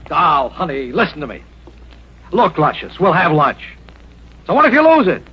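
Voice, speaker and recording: High-pitched brash Hollywood agent, old radio. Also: male voice, big pitch swings, moderate pace. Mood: slick, coaxing. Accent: American. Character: fast-talking, self-important male Hollywood agent, slick and brash. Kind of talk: old-time American radio drama acting. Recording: dull noisy old radio recording.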